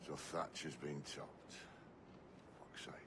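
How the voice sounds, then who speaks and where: An actor playing a fierce, foul-mouthed pirate captain who is gruff and mocking and often shouts. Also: male voice, rumbling low, steady tone, slow, background noise, full character voice. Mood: grim, gruff. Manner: gruff, snarling and mocking, bursts into furious shouts. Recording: game score under the voice.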